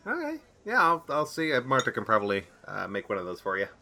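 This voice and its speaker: A man's voice: medium pitch, wildly swooping pitch, gravelly, sharp tone. A man co-hosting a podcast, playing a cafe server, who laughs easily and stumbles over his words. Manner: quick to laugh, stammering. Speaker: co-host. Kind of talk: playful role-play as a cafe server.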